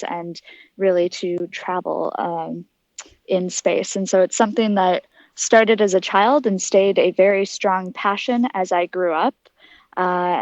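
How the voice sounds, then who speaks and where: A woman's voice: on the low side, a little mellow, dry recording, highs cut off. A woman in her early twenties giving articulate, earnest, explanatory answers as an interview guest. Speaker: interview guest, aspiring astronaut.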